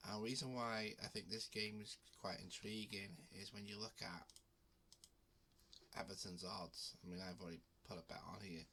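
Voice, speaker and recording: A man with a head cold talks to his viewers in a low, flat, bright-edged voice. He rambles casually with plenty of ums and restarts. A faint background noise sits under the recording. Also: normal speed, gravelly. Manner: relaxed, with frequent ums and restarts. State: has a cold. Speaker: betting channel host. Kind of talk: casual talk to camera.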